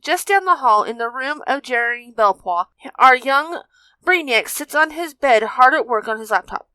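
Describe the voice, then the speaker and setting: A woman's voice: high-pitched, expressive, normal speed, sharp tone, no room sound, low-quality recording. A woman narrating a fan fiction story as a scripted reading.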